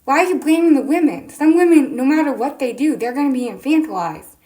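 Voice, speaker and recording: A young woman with a high voice, speaking earnestly and insistently to camera in an opinion vlog, pressing her points with indignant questions. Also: expressive, a little fast, dark tone. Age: young adult. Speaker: TikTok creator.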